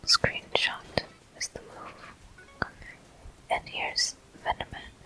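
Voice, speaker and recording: A person whispering ASMR game commentary as a walkthrough host, in slow, unhurried phrases with pauses, close to the microphone. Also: sharp tone, poor audio.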